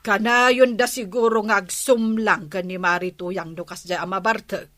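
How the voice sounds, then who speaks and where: An actress performs a nagging, scolding woman in a radio drama. Her voice is bright and sharp at a medium pitch, recorded close and dry. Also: fairly even intonation, normal speed, no echo, close to an everyday voice. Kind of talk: Ilocano radio drama acting.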